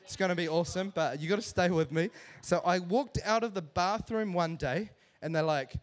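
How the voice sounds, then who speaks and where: A man in his thirties with a New Zealand accent, giving a lively, joking church talk to a congregation. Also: high-pitched, moderate pace. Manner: animated, joking, self-deprecating. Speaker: church speaker. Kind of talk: humorous sermon storytelling to a congregation.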